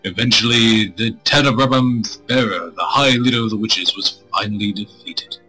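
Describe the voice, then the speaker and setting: A man streaming a visual novel, reading it aloud with crude, irreverent joking asides, in a husky voice. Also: fairly low pitch, moderate pace, bright, forceful tone, low-quality recording. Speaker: game streamer. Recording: music playing behind the voice.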